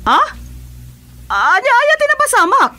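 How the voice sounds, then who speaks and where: A woman's voice: very high-pitched, wildly swooping pitch, moderate pace, bright, forceful tone, exaggerated voice. A woman with an Ilocano accent playing a bossy, exclamatory female village captain in a radio comedy drama. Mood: concerned. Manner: exclamatory, scolding.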